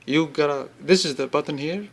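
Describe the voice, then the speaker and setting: A man presenting an informal product demonstration, explaining step by step in halting phrases and repeating himself. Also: a little high, gravelly. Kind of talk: informal spoken product demo.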